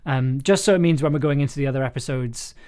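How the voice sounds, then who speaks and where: A man's voice: mid-range voice, quick pace, darkish tone. A man directing an audio drama session, giving casual, encouraging notes and circling back to rephrase himself.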